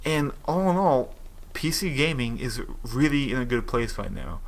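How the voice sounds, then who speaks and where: Bright-voiced young man ranting about games. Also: medium pitch, rough recording. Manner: opinionated, rambling with restarts. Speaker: gaming commentator. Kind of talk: casual opinion commentary.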